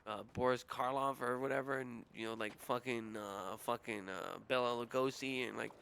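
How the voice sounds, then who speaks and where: A man's voice: medium pitch, steady tone, moderate pace, creaky, a bit bright, a little hiss or hum. A young adult man with a nasal, whiny voice, rambling casually and making crude jokes while streaming a game.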